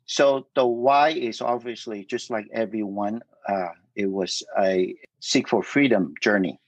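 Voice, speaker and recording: A middle-aged man from Vietnam whose first language is Cantonese, telling his life story in a casual interview, halting with many fillers and restarts. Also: fairly low pitch, no room sound, poor audio. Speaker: guest.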